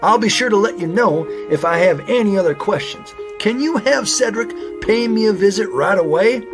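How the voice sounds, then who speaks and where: Animated high-voiced raccoon sheriff, acted by a man. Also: moderate pace, music in the background. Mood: reassuring, inquisitive. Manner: animated, folksy, wordplay-loving. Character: earnest male raccoon sheriff detective, folksy and inquisitive. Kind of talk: children's audio drama acting.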